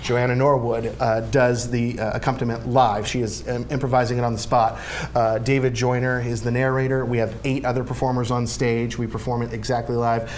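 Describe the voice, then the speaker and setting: A man chatting casually as an interview guest, in an animated, rambling, joking way. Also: medium pitch, brisk. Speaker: radio show founder, interview guest. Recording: clear background noise.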